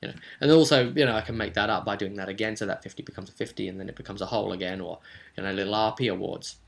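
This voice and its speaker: A man rambling through a vlog as a game master, animated and emphatic, repeating words for stress and slipping into imagined players' remarks. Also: lowish voice, racing, gravelly. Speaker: tabletop game master and vidcast host. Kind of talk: rambling vlog commentary on a roleplaying game session.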